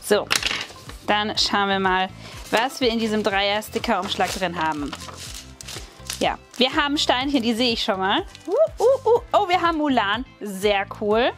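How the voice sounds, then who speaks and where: A woman with a German accent hosting a craft channel, chatting excitedly with many fillers while she unboxes a haul at a table. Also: medium pitch, unhurried, over music. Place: at a wooden table, hands on camera.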